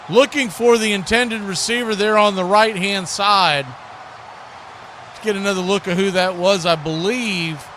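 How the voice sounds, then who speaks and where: A man's voice: high voice, a bit bright, slight background noise. A man doing live football play-by-play commentary in punchy, clipped phrases. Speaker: play-by-play sports announcer.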